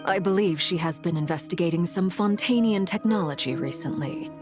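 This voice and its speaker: Casting: actress as poised formal lady for a game, gracious delivery, lower-pitched voice. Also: brisk, over music, natural voice. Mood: composed. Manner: formal, composed. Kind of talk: video game dialogue acting.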